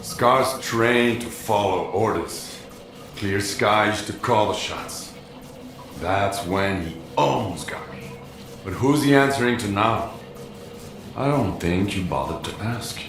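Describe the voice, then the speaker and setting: An actor playing a stern, world-weary outcast in a video game, terse and accusing. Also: male voice, lowish voice, lively intonation, slow pace, echoey room, over music. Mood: bitter, defiant. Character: grim, world-weary male outcast of a forbidden zone. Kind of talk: video game cutscene acting.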